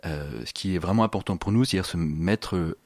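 A man's voice: low-pitched, brisk, no echo, studio quality. A man explaining his sound installation in an interview, searching for his phrasing and repeating words as he goes.

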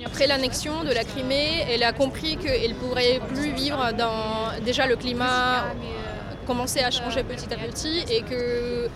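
A woman's voice: high-pitched, fairly even intonation, moderate pace, over music. Hesitant female exhibition coordinator. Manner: hesitant, many restarts and fillers. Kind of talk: interview answers.

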